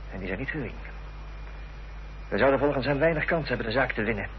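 An actor playing a determined gentleman investigator, formal and reasoning, in a period radio drama. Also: mid-range voice, close to an everyday voice. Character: earnest, determined gentleman investigator in Victorian mystery. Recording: dull, noisy, humming old radio recording.

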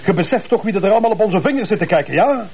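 A man's voice: sits high, lively intonation, rapid-fire, a little mellow. A man with a Flemish accent playing a bossy, self-important police commissioner in a radio drama, hectoring and pompous. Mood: bossy, impatient.

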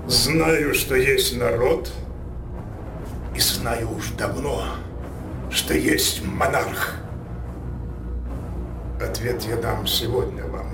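An actor playing an imperious, sardonic old king in verse radio drama, declaiming with mock courtesy. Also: mid-range voice. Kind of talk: classical verse stage drama. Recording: roomy, distant stage radio-play recording.

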